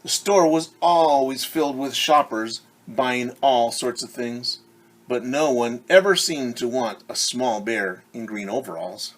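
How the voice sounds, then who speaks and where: A man teacher reading a picture book aloud to children, animated and stopping to explain words and ask questions. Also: mid-range voice, a little slow, rough recording. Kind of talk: children's story read-aloud.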